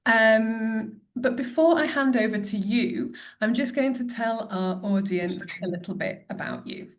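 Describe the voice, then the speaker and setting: A woman's voice: a little high, a little slow. A woman in her thirties hosting an online teaching webinar over a video call, upbeat and apologetic about a late start. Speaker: webinar host.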